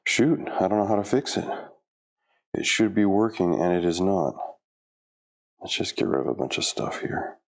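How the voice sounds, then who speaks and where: A man's voice: deep voice, animated, normal speed, long pauses. A middle-aged man talking casually to the camera in a home gym, chatty and a bit flustered as he sets up his workout. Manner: chatty, self-talking, flustered by equipment trouble. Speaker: fitness vlogger.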